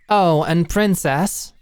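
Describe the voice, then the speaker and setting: A woman's voice: low voice, a little mellow, a little hiss or hum. A woman playing a stern, proud female knight in a fantasy audio drama, with a dry, commanding, taunting manner. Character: stern, proud woman knight, brusque and teasing sparring mentor.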